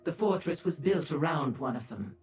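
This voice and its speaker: Sly, haughty demon seductress with lively intonation. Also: female voice, deep voice, normal speed, faint music behind. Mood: haughty, wry. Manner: teasing, haughty, flirtatious. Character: sly, seductive female Daedric Mazken dealer, haughty toward mortals. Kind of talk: fantasy video game acting.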